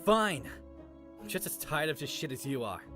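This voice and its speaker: Defiant teenage boy, wildly swinging pitch. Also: very animated, moderate pace, sharp tone, no echo, soft background music. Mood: sullen. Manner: bitter, insolent, argumentative. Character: defiant, sullen teenage son arguing back. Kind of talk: visual novel voice acting.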